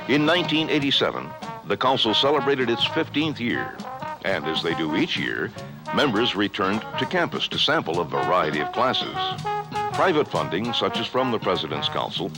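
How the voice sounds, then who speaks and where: A man's voice: on the low side, very animated, moderate pace, low-quality recording. A man narrating a university newsreel from a script, in the manner of a year-in-review report. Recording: music bed under the voice. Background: loud background music.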